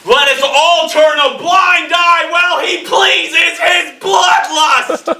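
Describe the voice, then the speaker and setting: A man's voice: very high-pitched, fairly even intonation, slow, heavily acted. A man playing a hot-headed, suspicious, sarcastic survivor in an amateur comic zombie stage play. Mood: outraged. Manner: loud, indignant, sarcastic. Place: indoor stage performance, recorded from afar. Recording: distant, roomy live stage recording, faint noise.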